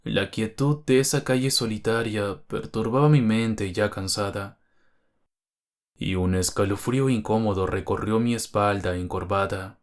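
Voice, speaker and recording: Low-voiced man narrating a horror story. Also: a little slow. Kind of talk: scripted first-person horror story narration.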